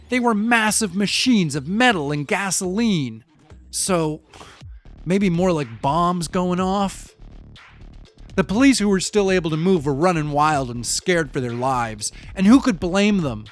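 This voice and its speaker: A young male eyewitness played by a man in an audio drama monologue, with a fairly high voice over music. He is rattled and defensive yet wry, confiding his story in loose, profane street talk. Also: fairly bright tone. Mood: wry, incredulous. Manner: casual, slangy, profane, confiding. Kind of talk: first-person audio fiction monologue, conversational storytelling.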